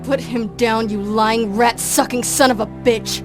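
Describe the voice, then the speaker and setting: A woman's voice: on the high side, fairly even intonation, a little slow, lightly acted. A woman acts out a sardonic half-vampire action heroine for a video game. She is tough and blunt, heard in a long reverb with music behind. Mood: furious. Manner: wry, hard-boiled, blunt. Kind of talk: video game character acting.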